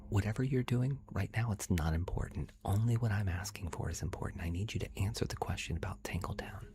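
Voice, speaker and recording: A man hosting an ASMR livestream, speaking in a breathy whisper and rambling directly to his chat viewers. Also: fast, noisy background. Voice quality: breathy whisper with creaky low voice.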